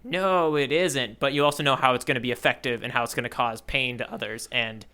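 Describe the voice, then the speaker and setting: A man co-hosting a casual manga discussion podcast in a playful, animated way. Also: medium pitch, brisk, clear, resonant tone. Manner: playful, animated, with spoken sound effects. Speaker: podcast co-host.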